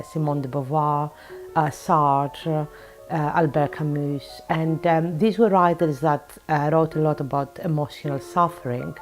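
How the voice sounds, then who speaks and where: A woman's voice: deep voice, gravelly, darkish tone. A woman in her forties from Greece, an author and psychotherapist, answering interview questions in a reflective way with frequent ums. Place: her office.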